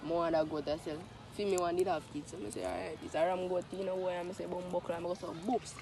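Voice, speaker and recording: A young man with a Jamaican accent telling stories casually among friends in animated, sweary slang. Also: high-pitched, fast, gravelly. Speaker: storyteller in a group of friends. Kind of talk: casual group storytelling.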